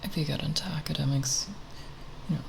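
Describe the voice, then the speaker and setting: A young woman talking softly in a quiet, unscripted ASMR ramble, hesitant and self-deprecating, sometimes breathy. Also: deep voice, a little fast. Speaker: ASMR video creator. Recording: steady background noise with tapping sounds. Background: plastic bottle tapping sounds.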